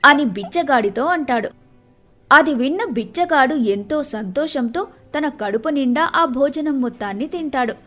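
High-voiced woman storyteller with music behind. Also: animated, normal speed, a bit bright. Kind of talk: scripted moral-tale narration.

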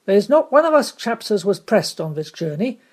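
A rough, plain-spoken sailor in a storybook, as the reader puts him on, voice lifted above the narration and a little husky. He rambles earnestly, searching for his words. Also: mid-range voice, big pitch swings, normal speed, a little mellow, pitched above the performer's own voice. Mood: stirring.

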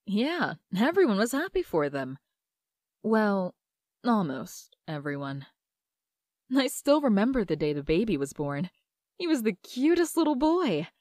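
Woman doing a wry, wistful young narrator with a lively, animated pitch. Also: unhurried, dry recording, studio quality. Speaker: narrator of her own past. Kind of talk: comic dub narration.

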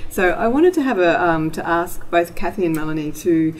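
A middle-aged woman moderating a panel discussion in a conference room, measured and hedging with fillers. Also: on the low side, lively intonation, slight background noise.

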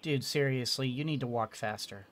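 A man's voice: mid-range voice, normal speed. A middle-aged man giving casual, playful, rambling commentary as he plays a game. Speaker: streamer, let's player. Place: indoors at a desk. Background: game music.

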